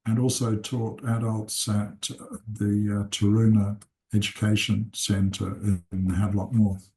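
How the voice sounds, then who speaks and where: A man in his seventies, born in England and raised in New Zealand, calmly introducing himself and his life story, pausing often. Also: fairly low pitch, low-quality recording. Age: 70s. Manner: slow, unhurried, with frequent "uh" pauses. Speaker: seminar teacher and consultant. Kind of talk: unscripted personal introduction.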